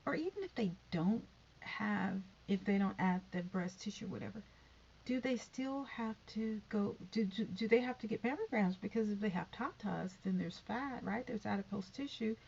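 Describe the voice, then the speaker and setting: Husky-voiced woman in her fifties rambling. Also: mid-range voice, moderate pace, a little mellow, muffled recording. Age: 50s. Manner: chatty, sighing, drifting into whispered asides. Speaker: YouTube vlogger. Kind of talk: rambling casual vlog.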